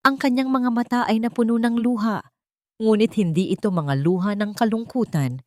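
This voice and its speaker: A woman's voice: fairly high pitch, normal speed, a little mellow, no room sound, studio quality. A woman narrating a scripted dramatic story.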